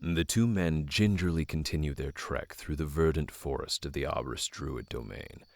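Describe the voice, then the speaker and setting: A man's voice: very deep voice, normal speed, a little mellow, dry recording. A man narrating a fantasy audio drama as a solemn storyteller, reading scripted prose. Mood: measured.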